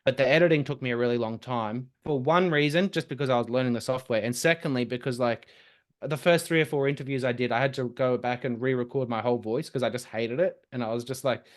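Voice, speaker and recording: A young man, a podcast guest chatting casually and telling lively stories. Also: mid-range voice. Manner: lively, quick storytelling with laughs and restarts. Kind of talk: casual conversation.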